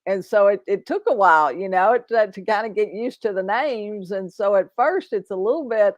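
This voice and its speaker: A woman's voice: mid-range voice, normal speed, no echo. A woman hosting an interview podcast, chatty and affirming, running long sentences together over a video call. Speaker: podcast host. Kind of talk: casual interview chat. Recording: dry, dull lo-fi sound.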